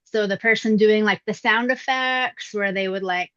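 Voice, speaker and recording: A middle-aged woman with a young-sounding voice, talking about her acting career in a relaxed, reflective interview with frequent fillers. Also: medium pitch, moderate pace, smooth, no room sound, highs cut off. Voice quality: youthful-sounding voice. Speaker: voice actress, interview guest. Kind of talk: casual interview answers. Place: indoors at her mother's home.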